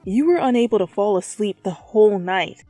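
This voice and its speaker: A woman reading a story aloud as dramatic, expressive narration, addressing the listener as the main character. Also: medium pitch, normal speed, soft background music. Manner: theatrical, expressive. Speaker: fan fiction reader.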